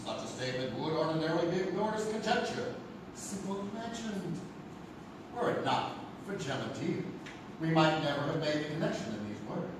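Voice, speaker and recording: Man acting as eerie tale narrator, high voice, measured, theatrical, distant mic, clear background noise. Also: animated, close to an everyday voice. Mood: ominous, measured. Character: ominous male narrator of eerie local legends. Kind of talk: dramatic scripted narration of a spooky anthology tale. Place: theatre stage, live show. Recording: echoey theatre stage, distant mic, noisy.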